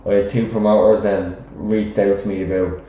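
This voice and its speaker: Dublin man, deep mellow voice, echoey room. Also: little pitch movement, normal speed. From Ireland. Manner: rambling, frequent "do you know what I mean". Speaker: professional boxer, guest. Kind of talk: casual interview chat.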